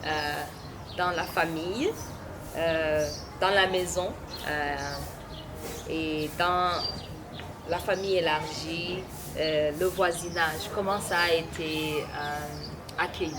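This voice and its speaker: Woman host, slow and halting, low pitch, loud noise behind her. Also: fairly even intonation. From Burundi. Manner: slow, many filler pauses. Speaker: interviewer and host. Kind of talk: hesitant interview hosting.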